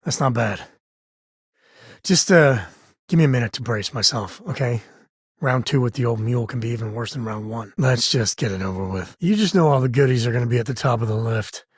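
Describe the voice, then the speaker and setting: A man playing an easygoing, wry frontier spacefarer and father in a video game, with a husky voice and folksy phrasing. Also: medium pitch, lively intonation, brisk, dry recording, studio quality. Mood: wry, casual. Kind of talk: acted video game companion dialogue.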